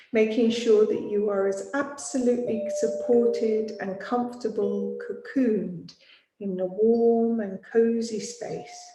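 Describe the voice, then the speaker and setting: A woman teaching yoga nidra, guiding a sleep meditation in a gentle, soothing, unhurried manner with long pauses. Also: medium pitch. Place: small studio. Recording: roomy echo, music behind voice.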